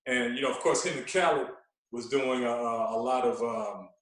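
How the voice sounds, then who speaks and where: Man, mid-pitched voice, casual podcast storytelling, animated, repetitive emphasis, very roomy distant recording. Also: normal speed. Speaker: guest, veteran radio personality. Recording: echoey room, distant from mic.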